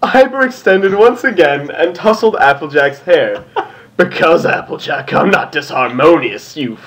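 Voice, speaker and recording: A man's voice: on the high side, expressive, a little slow, fairly bright tone. A man casually reading a story aloud for comedy, often breaking into giggles as he goes. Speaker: co-reader of a fan fiction reading show.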